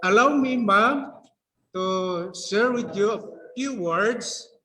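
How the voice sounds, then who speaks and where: A Filipino-accented man speaks formally and politely as a participant. His voice is somewhat high for a man, and he takes his time, repeating words. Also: takes it slowly, bright, forceful tone, slight background noise, rough recording. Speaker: teacher, participant. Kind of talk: formal remarks from the floor.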